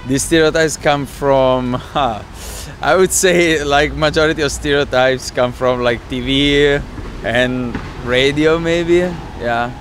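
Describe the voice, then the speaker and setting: Man, animated, laughs often, faint street noise. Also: medium pitch, slow. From Italy. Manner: animated, laughs while telling anecdotes. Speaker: interviewee. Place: city street outdoors.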